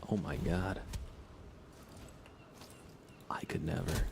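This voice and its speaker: A soft-spoken man doing casual ASMR game commentary on a live stream, often slipping into whispers. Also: sits low, steady tone, slow. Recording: clear background noise.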